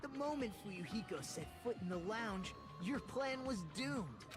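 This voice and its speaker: A man's voice: sits high, very animated. A man playing an earnest, insistent teenage boy reasoning out a crime in an anime video game. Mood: accusing. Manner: deliberate, insistent, explanatory. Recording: game music playing behind the voice.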